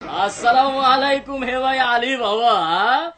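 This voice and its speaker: An actor playing a woodcutter's polite, pious brother in a cartoon, speaking formally. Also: male voice, extremely high pitch, takes it slowly, sharp tone, a little hiss or hum, full character voice. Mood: courteous. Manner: formal, courteous. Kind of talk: cartoon dub acting.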